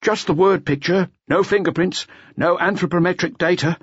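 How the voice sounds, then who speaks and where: A man's voice: high-pitched, normal speed, slightly rough, no echo, dull audio, voice pushed up. A man voicing a solid, dutiful junior detective of about thirty, reporting in a businesslike manner.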